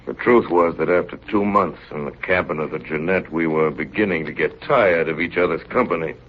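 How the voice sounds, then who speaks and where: An actor playing a level-headed chief officer who narrates a polar voyage in a 1930s radio drama. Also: male voice, extremely low pitch, brisk. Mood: grave, recounting. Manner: grave, vivid storytelling. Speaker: narrator of the story. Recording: noisy, dull 1938 radio recording.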